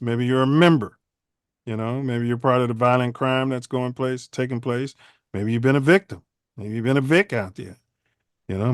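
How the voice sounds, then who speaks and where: Guy hosting his pod, smooth clear voice, dry close sound, rambly slangy talk. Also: mid-range voice, no room sound. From Chicago. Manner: loose, rambling, slangy delivery with many filler sounds. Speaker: podcast host. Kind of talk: casual livestream podcast chat.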